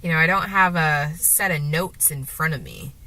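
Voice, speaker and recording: A woman with a sore throat and a gravelly, deeper voice, rambling hesitantly to the camera from her car, with many fillers and restarts. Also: normal speed, sharp tone, poor audio. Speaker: vlogger. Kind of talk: rambling casual monologue while driving.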